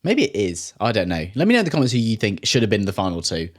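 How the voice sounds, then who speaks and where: A man's voice: fairly low pitch, huge pitch swings, quick pace. Sarcastic, sweary man reacting to clips. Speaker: reaction video host. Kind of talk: casual reaction commentary.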